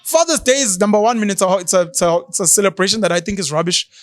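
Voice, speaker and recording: A man in his thirties with a South African accent, animated and mocking as he co-hosts a casual talk show, sometimes slipping into isiZulu. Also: high voice, very fast, no echo, soft background music. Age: 30s. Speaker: podcast co-host. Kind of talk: opinionated casual chat.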